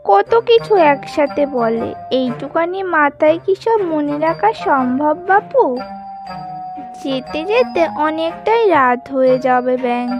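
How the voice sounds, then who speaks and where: Casting: small cheeky schoolchild in cartoon, extremely high pitch, slow innocent chatter. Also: female voice, lively intonation, muffled recording, over music. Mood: forgetful grumbling. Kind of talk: Bengali children's cartoon dialogue.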